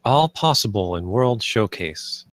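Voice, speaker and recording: A man's voice: fairly low pitch, lively intonation, unhurried, dry recording. A man playing an earnest, idealistic theme park designer in a screenplay table read, with a speechlike delivery. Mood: earnest, visionary.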